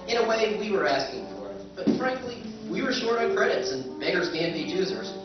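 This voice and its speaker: A man's voice: high-pitched, sing-song, brisk, recorded from a distance, music in the background. A man playing a wry, rueful spaceship buyer who narrates his tale expressively in an old-time radio drama. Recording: echoey, dull stage recording from afar.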